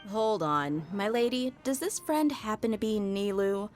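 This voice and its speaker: A woman playing a dutiful attendant to a noblewoman in a video game, speaking politely with measured caution. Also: medium pitch, expressive, faint music behind, natural voice. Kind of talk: game dialogue.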